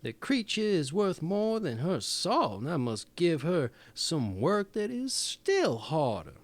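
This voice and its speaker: A man's voice: on the high side, very animated, takes it slowly, dry recording, exaggerated voice. A man plays a wicked storybook stepmother, haughty and cruel. Her voice is raised and sweeps up and down as she scolds and threatens. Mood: cold, demanding.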